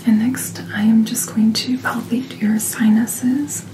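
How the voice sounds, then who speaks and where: A woman speaking in a soft, breathy whisper, gently and reassuringly playing a doctor in an ASMR roleplay, close to the microphone. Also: on the high side, flat delivery, takes it slowly, background noise. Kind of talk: soft-spoken ASMR medical checkup roleplay.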